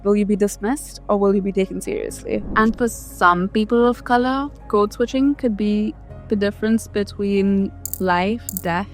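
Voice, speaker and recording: A woman's voice: mid-range voice, moderate pace. A woman raised in Canada, from an Indian immigrant background, narrating a scripted explainer vlog as its host. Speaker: video host. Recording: voice over background music. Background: music.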